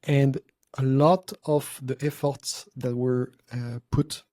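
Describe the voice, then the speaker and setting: A man, hosting a relaxed podcast conversation. His voice is warm and mellow, recorded dry and close. He talks thoughtfully and pauses to find his words. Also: mid-range voice, no room sound. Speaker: podcast host.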